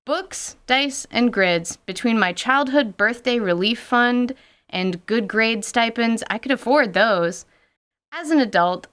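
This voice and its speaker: A woman's voice: mid-range voice, fairly bright tone, clear, resonant tone. A woman narrating a scripted personal story with wry, casual asides and light swearing. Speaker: podcast host.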